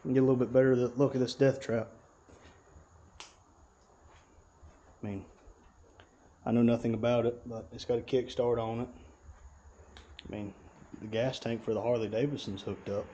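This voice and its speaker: A man's voice: mid-range voice, level tone, a little slow, pauses often, mellow. Man, 30s, casual folksy vlogger; slightly muffled action-cam sound. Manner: easygoing, drawling, folksy. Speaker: channel host. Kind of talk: casual walkthrough vlog. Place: large garage service bay. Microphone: action camera.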